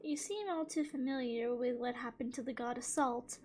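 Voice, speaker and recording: High-voiced, playful young woman gaming. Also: normal speed, slight background noise. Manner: playful, expressive, reactive. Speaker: gaming video host. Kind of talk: casual game playthrough commentary. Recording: game music behind the voice. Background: game music.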